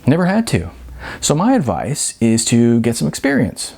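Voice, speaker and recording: A man's voice: mid-range voice, huge pitch swings, moderate pace, vocal fry, darkish tone, full character voice. A man voicing a blunt, world-weary restaurant manager in an audiobook, explaining things frankly and emphatically. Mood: frank, advising.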